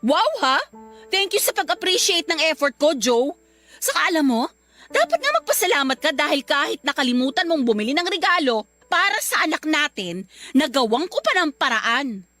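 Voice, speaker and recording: A woman's voice: high-pitched, pushed and bright, no room sound, full character voice. A woman with a Filipino accent playing a fed-up wife and caring mother in a radio drama.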